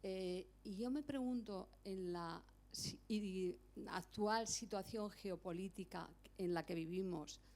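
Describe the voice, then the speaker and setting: A woman's voice: medium pitch, slow, few pauses, darkish tone. Friendly older woman asking from the floor. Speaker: audience member asking a question. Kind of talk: casual question from the floor.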